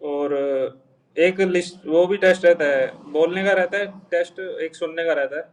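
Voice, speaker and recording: Young man, higher voice, distant mic. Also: steady tone, a little slow. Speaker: interview guest, junior air traffic controller. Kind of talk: informal explanation in Hindi mixed with English terms. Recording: voice distant from the microphone.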